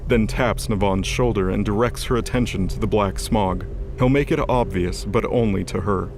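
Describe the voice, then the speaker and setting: A man's voice: fairly low pitch, normal speed, noisy background. A man narrating a scripted fantasy audio drama as its storyteller. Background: music bed.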